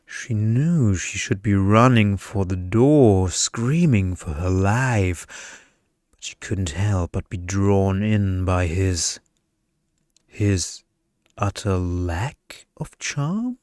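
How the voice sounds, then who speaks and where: A man reading a scripted bedtime story in ASMR style, with a hushed, seductive delivery into a close mic. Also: low-pitched, lively intonation, drawn-out words, no echo. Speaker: story narrator.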